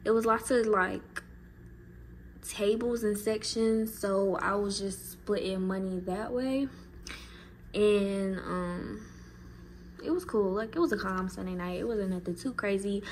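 A woman's voice: medium pitch, a little hiss or hum, low-quality recording. Laid-back woman vlogging in her kitchen. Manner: laid-back, chatty, lots of fillers. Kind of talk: casual vlog chat to camera. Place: home kitchen, indoors.

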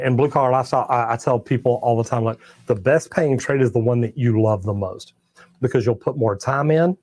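Folksy older Texan plumber, dry close mic. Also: male voice, medium pitch, darkish tone, dry recording. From Texas. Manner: folksy, plainspoken, drawling storyteller. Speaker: master plumber hosting a livestream. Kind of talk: casual livestream Q&A reading chat. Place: studio set with shelves of tools and merch. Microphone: clip-on lapel mic.

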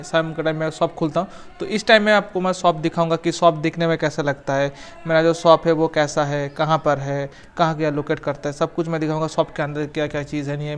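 High-voiced young man vlogging on a phone. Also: smooth. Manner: chatty, loose and rambling, with frequent restarts. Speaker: small cafe owner and vlogger. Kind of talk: casual selfie vlog and shop tour. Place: small roadside tea shop. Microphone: phone camera held at arm's length. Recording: noisy phone recording with street sounds.

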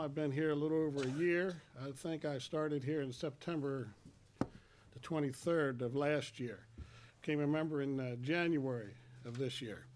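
A man in his sixties speaks at a church lectern, his voice a little high for a man. He tells his personal testimony in homespun, halting phrases, plainly and without polish. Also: slight background noise. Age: 60s. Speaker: church member giving testimony. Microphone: microphone on a stand.

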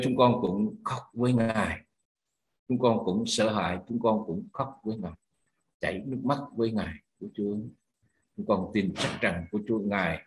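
A man's voice: fairly low pitch, poor audio. A man, a pastor, leading a spoken prayer with fervent repeated cries to God between phrases.

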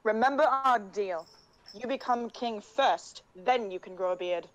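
A woman's voice: medium pitch, a little slow, dull audio, close to an everyday voice. A woman playing a proud, sassy young fantasy warrior in a haughty, assured way for an animation audition. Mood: firm, teasing. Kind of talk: character voice acting audition.

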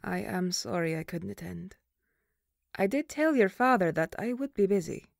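A woman playing a stern, duty-bound banshee mother in an audio roleplay, speaking formally and gravely. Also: mid-range voice, lively intonation, moderate pace, no room sound, studio quality. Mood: wistful.

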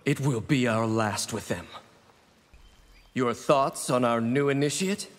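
A man's voice: medium pitch, measured pace, natural voice. A man playing a loyal, embittered ninja in a fighting game, earnest and resolute. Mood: resolute, bitter. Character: loyal, embittered grey-haired ninja brother. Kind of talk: dramatic fighting game dialogue.

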